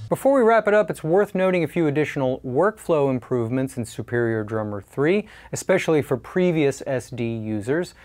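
A man in his forties giving a scripted product review in a measured, clearly articulated presenter style. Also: medium pitch, lively intonation, a little mellow.

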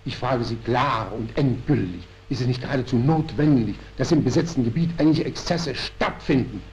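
A man's voice: mid-range voice, normal speed, a little mellow, voice pushed down, lightly acted. A man reading a play as a fervent young nationalist militant, pressing and emphatic, in a historical recording. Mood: urgent. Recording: dull historical archive recording.

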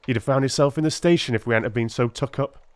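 A man's voice: mid-range voice, fast, no room sound, voice pushed up, natural voice. A man as a touchy police constable. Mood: irritated. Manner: colloquial, aggrieved, blunt. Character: plain-spoken, touchy male London police constable.

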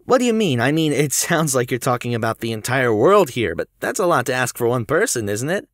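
A woman playing an anxious, flippant teenage schoolboy in an audio drama, stammering and excitable. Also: rumbling low, brisk, no room sound, studio quality. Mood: incredulous. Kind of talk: scripted audio drama acting.

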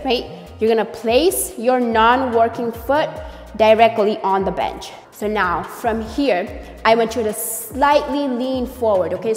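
A young woman coaches a workout, speaking slowly with a slightly high voice. She keeps checking in with the listener as she explains each step. She is heard from a distance in an echoey gym room, with faint music behind her. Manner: upbeat and coaching, with frequent "okay?" and "right?" check-ins. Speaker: fitness coach. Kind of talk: workout tutorial. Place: large gym room with glass walls.

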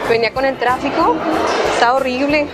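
A woman's voice: high voice, a little fast. A young woman hosting a hidden-camera prank, chatty and playful, often giggling, recorded from a distance in a busy food court. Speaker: prankster host. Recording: loud music and crowd noise. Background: loud music and chatter.

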